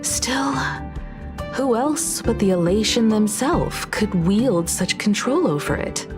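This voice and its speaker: A woman voicing a calm, courteous video game character who speaks in a measured, formal way. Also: medium pitch. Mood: calm, pensive. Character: calm, thoughtful, courteous adult female companion. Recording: music under the voice.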